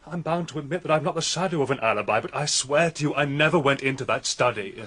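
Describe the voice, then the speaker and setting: An actor playing an earnest young gentleman under suspicion in a radio mystery, slightly halting as he confesses. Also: a little high, husky. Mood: earnest, contrite. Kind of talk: radio mystery drama acting.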